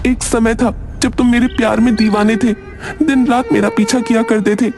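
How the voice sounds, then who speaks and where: A young woman acted out by a man speaks in a high voice, bitter and reproachful. The delivery is dramatic, in the manner of a cartoon morality tale, with music playing clearly underneath. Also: little pitch movement, moderate pace. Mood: bitter, accusing. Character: bitter young woman with burned face, accusing former stalker. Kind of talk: Hindi cartoon story dubbing.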